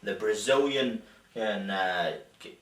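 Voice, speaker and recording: A man's voice: on the low side, slow, fairly bright tone, reverberant, distant mic. A Scottish man hosting a casual comic fight-sports chat show, joking and chuckling with stammering restarts. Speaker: show host.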